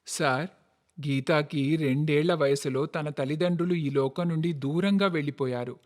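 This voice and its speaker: Man as a high-pitched, earnest family father. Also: moderate pace, natural voice. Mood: earnest, subdued. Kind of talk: animated moral-story dialogue.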